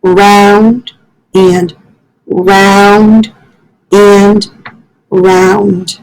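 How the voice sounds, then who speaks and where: A woman's voice: medium pitch, flat delivery, extremely slow, darkish tone, low-quality recording. A woman, a school librarian, reading a story aloud to young children and carefully explaining it in asides.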